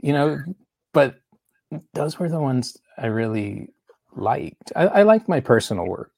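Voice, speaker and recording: A man talking as a guest artist in a relaxed chat, pausing often and restarting his sentences. His low voice is husky and gravelly. The recording is dry and close. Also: no echo. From United States. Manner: hesitant, halting, restarts often. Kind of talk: casual conversation.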